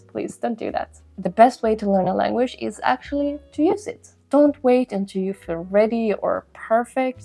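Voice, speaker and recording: A young woman, a native Swedish speaker, talks straight to her viewers with earnest encouragement. Her tone is soft and mellow, with a gravelly fry in her voice. Quiet music plays underneath. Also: fairly high pitch. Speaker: language-learning video host. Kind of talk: motivational talk to camera.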